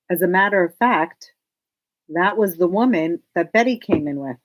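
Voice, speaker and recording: A woman's voice: on the low side, lively intonation, unhurried, low-quality recording. A woman whose first language is Hebrew, co-hosting a casual knitting podcast and chatting with a laugh. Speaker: podcast co-host. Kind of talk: casual chat.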